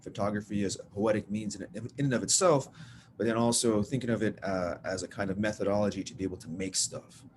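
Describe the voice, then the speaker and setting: Low man, workshop leader, easygoing and rambling on a video call with steady background noise. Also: fast talker. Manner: loose and rambling, self-deprecating, says y'all. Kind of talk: casual teaching talk. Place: at home, typing on a bed.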